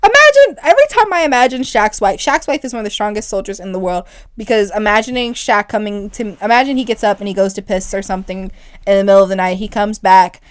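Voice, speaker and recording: A young woman co-hosting a podcast in casual chat, animated and rambling, sometimes rising loud and shrill when excited. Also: quick pace, clean tone, no echo, studio quality. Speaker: podcast co-host.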